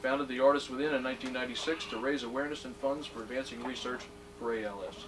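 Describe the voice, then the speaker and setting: A man's voice: medium pitch, steady tone, fast. Genial middle-aged male ceremony presenter. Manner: genial, joking asides, reads aloud from a plaque. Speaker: presenter at a hall of fame induction. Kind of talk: ceremony speech. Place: ceremony hall. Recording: distant mic, noisy room.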